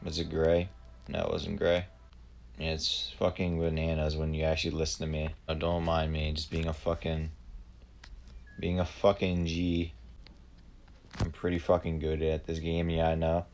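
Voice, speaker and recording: A man's voice: very deep voice, completely flat delivery, gravelly, darkish tone, slight background noise. A man giving live gameplay commentary, blunt and profane, ranting at the other players. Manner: profane, blunt, quick to rant. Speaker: gamer streamer.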